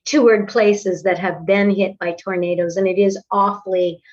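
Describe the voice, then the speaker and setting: An older woman in her sixties talks in a measured, articulate way about her teaching. The recording sounds dull and lo-fi. She is a little away from the mic. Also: medium pitch, steady tone, darkish tone, distant mic. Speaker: voice and acting teacher. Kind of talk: casual interview conversation. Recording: dull lo-fi audio, slightly off-mic.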